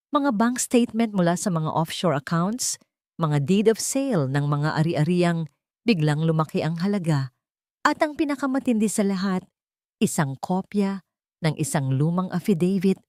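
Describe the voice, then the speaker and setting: A woman's voice: on the low side, a little mellow, no room sound, studio quality. A woman storyteller reading a scripted dramatic story.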